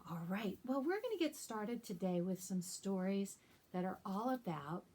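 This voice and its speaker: A woman hosting a children's storytime as a librarian, reading aloud and talking to young listeners in simple, deliberate phrases. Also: mid-range voice, sing-song, darkish tone.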